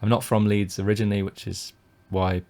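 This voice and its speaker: A man from the UK with a lowish, gravelly voice talks through his own poker hands on a live stream. He thinks out loud, full of fillers and restarts, as he weighs each decision and reads out viewer messages. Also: flat delivery, fairly quick.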